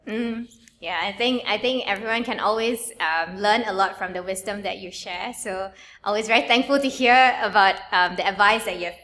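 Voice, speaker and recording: A woman hosting a podcast interview, asking the guest questions and giving frequent short acknowledgements and soft laughs. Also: medium pitch, normal speed. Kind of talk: casual interview conversation.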